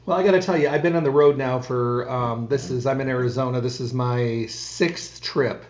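A man's voice: mid-range voice, steady tone, normal speed, darkish tone, echoey room, highs cut off. A man hosting a radio talk show, folksy and rambling with many restarts. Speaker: radio host. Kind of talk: casual radio chat.

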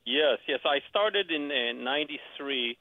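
A man's voice: a little high, animated, a little slow, dry recording. A man from Israel with an Israeli accent, a real estate agent talking casually as a guest on a radio show, friendly and often restarting his phrases. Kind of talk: phone interview. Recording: tinny phone line.

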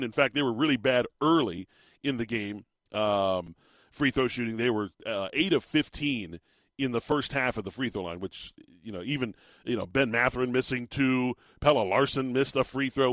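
A man hosting a local morning sports talk radio show, chatty and rambling with fillers, his voice a little raspy. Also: medium pitch, a bit bright, no echo, studio quality. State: slightly raspy voice.

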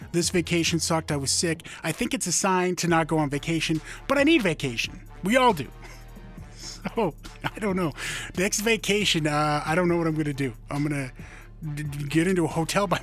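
A male radio host talks in a loose, rambling way, with lots of fillers and side remarks. His voice is hoarse and sits a bit high for a man. Soft music plays faintly underneath. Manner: rambling, filler-heavy, self-deprecating. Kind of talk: casual radio chat.

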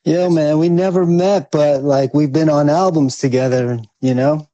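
A man's voice: a little high, fairly even intonation, normal speed, dark tone, no room sound, dull audio, soft background music. A man from the Philippines, raised in New York, talks as a guest in a casual interview in a humble, laid-back way. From born in the Philippines, raised in New York. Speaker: guest, recording engineer.

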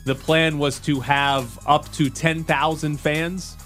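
A man's voice: on the high side, little pitch movement, takes it slowly, a bit bright, soft background music. A man co-hosting a sports talk show, reading news items and chatting about them in a dry, casual way.

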